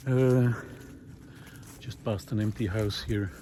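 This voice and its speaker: A man with a mid-pitched voice shares his reflections aloud as he walks outdoors. His delivery is unscripted and thoughtful. He hesitates often, pausing to find the right words before carrying on. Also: vocal fry, dark tone, slight background noise. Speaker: host of a walking audio diary, former teacher. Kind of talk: unscripted reflective spoken monologue recorded on a walk. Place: outdoors, walking.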